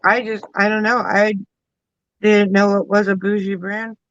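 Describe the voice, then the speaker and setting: A woman's voice: medium pitch, flat delivery, unhurried, a bit bright, no echo, dull audio. A woman co-hosting a casual livestream, easygoing, giving short replies. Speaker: livestream co-host.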